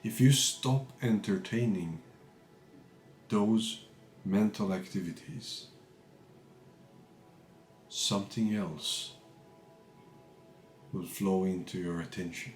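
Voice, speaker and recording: A man guiding a meditation as a teacher, calm and measured, speaking in short phrases with pauses between them. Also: sits low, echoey room, faint music behind. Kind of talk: guided meditation, unscripted spiritual talk.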